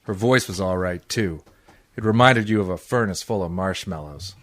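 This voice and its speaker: A man acting a cynical, wisecracking private eye in an old-time radio detective drama, with a dry deadpan delivery. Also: low-pitched, normal speed, no echo. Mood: wry, world-weary. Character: hard-boiled, wisecracking male private eye and odd jobber.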